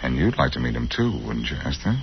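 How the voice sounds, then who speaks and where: An actor playing a cocky, quick-witted amateur sleuth who needles people, in 1940s radio style on a muffled old recording. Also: male voice, extremely low pitch, big pitch swings, a little hiss or hum, full character voice. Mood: knowing. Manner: smooth-talking, sardonic, teasing.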